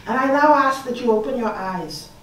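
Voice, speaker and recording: A middle-aged woman from the Bahamas with a Bahamian accent, leading a slow, soothing guided meditation on stage as a panel artist. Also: fairly high pitch, expressive, a bit bright, slight background noise. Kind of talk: guided meditation address to an audience. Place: large hall, on stage. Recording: echoey hall from far off.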